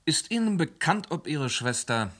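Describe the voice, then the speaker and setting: An actor playing a methodical police inspector who calmly and patiently questions witnesses in a radio drama. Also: male voice, on the high side, moderate pace.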